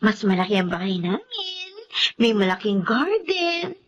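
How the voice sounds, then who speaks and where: An actress playing a possessive, sharp-tongued young girlfriend in a radio melodrama, whiny and pouting. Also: a little high, big pitch swings, measured pace, dull audio. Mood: sugary, cutting.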